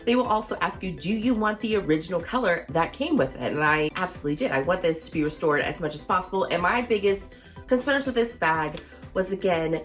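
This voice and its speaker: A woman with a somewhat low voice talks through a casual review, chatty and enthusiastic. Her voice is a little hoarse from recovering after losing it. Soft music plays faintly behind her. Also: a little fast. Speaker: fashion vlogger. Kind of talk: casual product review vlog.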